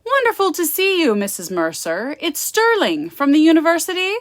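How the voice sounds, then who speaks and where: A woman's voice: high-pitched, wildly swooping pitch, normal speed, dry recording, voice pushed up. Gothic audiobook role: winning, determined young man, polite but pushy, dry close sound, acted by a female reader. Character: charming, cocky, determined young man from university. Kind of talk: gothic romance storybook reading.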